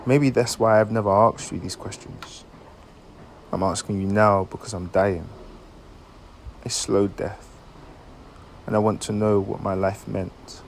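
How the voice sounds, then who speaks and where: A man performing a dramatic monologue as an anguished dying man, earnest and halting as he questions someone he loves. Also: fairly low pitch, a little mellow, a little hiss or hum, close to an everyday voice. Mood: anxious longing. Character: anguished dying man confessing long-hidden love, searching for meaning.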